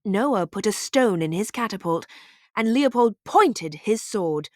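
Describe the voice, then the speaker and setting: A woman narrating a children's storybook aloud in an expressive, deliberate way. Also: medium pitch, no echo, studio quality.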